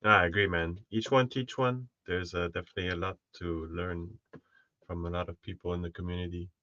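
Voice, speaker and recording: Deep-voiced man on dull lo-fi audio. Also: steady tone, brisk, sharp tone, no room sound. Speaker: chat participant. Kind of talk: casual voice chat.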